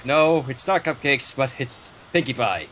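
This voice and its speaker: A man with a husky, expressive voice, reading a story aloud and bantering casually. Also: medium pitch, measured pace. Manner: animated, expressive. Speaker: fan fiction reader on a livestream.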